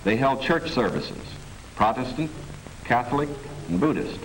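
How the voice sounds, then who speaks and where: An American man reading scripted 1940s wartime documentary narration in a measured, formal manner. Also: mid-range voice, lively intonation, poor audio. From United States. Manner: measured, formal, declarative delivery. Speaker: government film narrator. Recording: 1940s film soundtrack with music underneath. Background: music.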